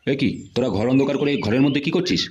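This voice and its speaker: A man voicing a stern, short-tempered middle-aged father in a comedy cartoon, gruff and demanding. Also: medium pitch, racing, muffled recording, very noisy, natural voice. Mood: suspicious.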